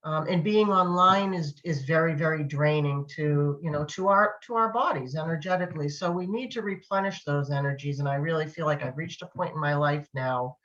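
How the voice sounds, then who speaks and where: A woman's voice: sits low, brisk, hardly any pauses, a bit bright, lo-fi sound. A middle-aged woman chatting reflectively as a guest, with a thoughtful, hesitant delivery. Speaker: panel guest. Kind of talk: reflective casual chat.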